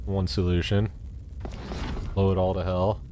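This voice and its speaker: A man streaming a game, making casual, profane commentary in deadpan, muttered asides. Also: sits low, unhurried, background noise. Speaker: streamer reading game dialogue aloud.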